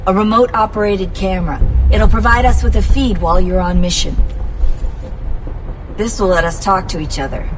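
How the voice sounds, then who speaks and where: An actress playing a calm young woman tech expert in a video game, guiding a mission in a businesslike, reassuring way. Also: medium pitch, moderate pace, noisy background, close to an everyday voice. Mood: practical. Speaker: mission support.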